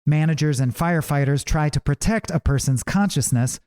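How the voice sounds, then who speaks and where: A man reading his own audiobook as scripted narration, in a measured, deliberate way with pauses. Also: on the high side, a little mellow, smooth.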